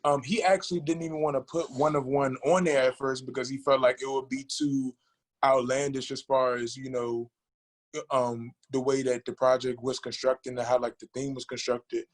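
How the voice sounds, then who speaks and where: A man with a medium-pitched voice talks as a guest producer in a casual interview. He speaks haltingly, circles back on his words and leans heavily on slang. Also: level tone.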